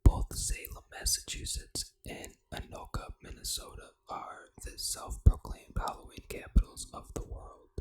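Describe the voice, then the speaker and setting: An ASMR host reading aloud in a hushed, fully unvoiced whisper, with deliberate phrasing. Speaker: ASMR gaming channel host.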